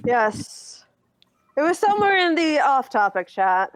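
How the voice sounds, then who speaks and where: A woman's voice: sits high, very animated, measured pace, a little hiss or hum. A woman chatting casually and playfully on an online voice call, in a teasing, theatrical way.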